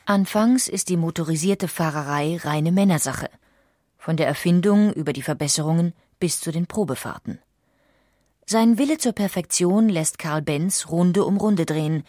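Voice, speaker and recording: A woman narrator delivering scripted documentary narration in a measured storytelling manner. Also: fairly low pitch, darkish tone, dry recording, clean studio sound.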